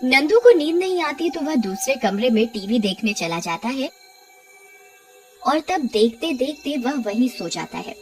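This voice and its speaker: A woman's voice: sits high, very animated, measured pace, faint music behind. A woman reading scripted narration for a cartoon horror story.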